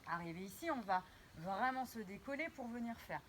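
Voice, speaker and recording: A woman's voice: medium pitch, animated, fairly quick, fairly bright tone, slight background noise. A woman teaching a dance routine step by step, counting beats and humming the rhythm as she moves. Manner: demonstrates while talking, counting beats and sung syllables.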